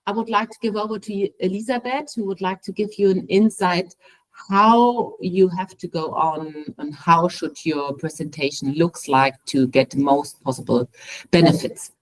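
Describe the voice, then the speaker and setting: A woman's voice: lowish voice, normal speed, poor audio. A woman presenting at a trade fair webinar in English, hesitant with many fillers. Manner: hesitant, non-native phrasing, many fillers. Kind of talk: online presentation.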